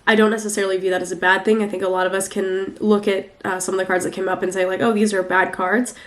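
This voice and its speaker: A woman's voice: mid-range voice, flat delivery, fast. Young woman, flu-congested and nasal, chatty tarot reader who rambles with lots of fillers. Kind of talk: casual weekly tarot reading.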